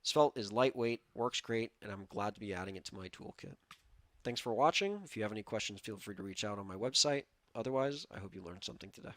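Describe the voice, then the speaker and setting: A man giving a clear, upbeat, scripted recorded conference talk. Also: fairly low pitch, fast talker, a bit bright, no echo. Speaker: conference speaker. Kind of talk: prerecorded tech talk.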